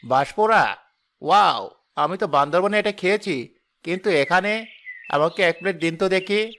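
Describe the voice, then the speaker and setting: A man's voice: high-pitched, animated, moderate pace, a bit bright. A man playing an enthusiastic urban food lover in a cartoon drama, sprinkling English words into his talk. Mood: intrigued. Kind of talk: Bengali cartoon drama voice acting.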